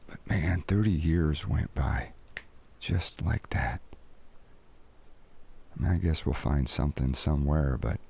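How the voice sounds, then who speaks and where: An actor playing a proud, middle-aged ranch hand in an audio drama, plainspoken, terse and folksy. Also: male voice, extremely low pitch, measured pace, creaky, pauses often, dark tone, husky, full character voice. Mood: wistful, tender. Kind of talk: naturalistic full-cast audio drama acting.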